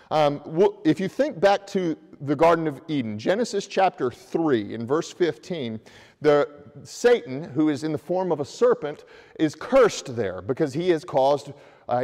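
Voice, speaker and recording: Animated pastor in a reverberant church. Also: male voice, on the high side. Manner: animated preaching with raised hands, voice climbing when stressing a point. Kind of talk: sermon with scripture reading. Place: church sanctuary, preaching at a pulpit. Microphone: far camera.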